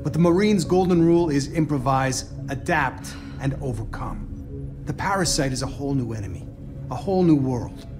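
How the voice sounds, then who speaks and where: A man's voice: medium pitch, expressive, normal speed, natural voice. A man playing a plain-spoken, middle-aged Marine in a video game. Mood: insistent. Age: 40s. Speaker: video game character, military operative. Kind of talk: cinematic game acting. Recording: music behind the voice.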